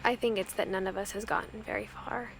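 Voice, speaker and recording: A young woman with an American accent playing a pensive narrator in a video game, quietly recounting family secrets in a measured, reflective way. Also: medium pitch, fairly even intonation, gravelly, noisy background, natural voice.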